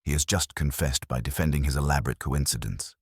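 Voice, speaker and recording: Man voicing a deep-voiced, imperious detective. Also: fairly quick, slightly rough, dry recording, clean studio sound, exaggerated voice. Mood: certain, accusing. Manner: formal, clipped, declarative. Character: stern, imperious older male detective, precise and accusing.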